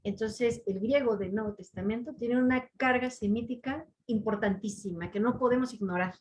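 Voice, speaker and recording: A woman taking part in a conference discussion, making measured, precise clarifications. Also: a little high, a little hiss or hum. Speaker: conference participant. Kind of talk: scholarly discussion.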